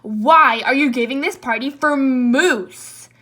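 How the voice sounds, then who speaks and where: A woman voicing a jealous male jackal who whines and complains loudly in a storybook reading. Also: fairly high pitch, animated, unhurried. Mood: indignant.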